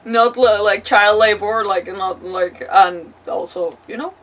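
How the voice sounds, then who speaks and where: A woman's voice: medium pitch, a little slow, a bit bright. A woman livestreaming a game with casual, rambling, playful commentary and mild swearing, on a slightly distant microphone. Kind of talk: casual live gaming commentary.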